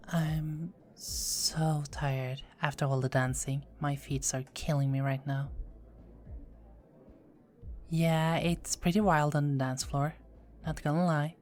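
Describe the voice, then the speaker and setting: A woman playing a low, whispering teasing vampire. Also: steady tone, takes it slowly, long pauses. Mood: friendly. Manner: soft-spoken, often whispering, playful teasing. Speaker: performer of own script. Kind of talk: ASMR roleplay.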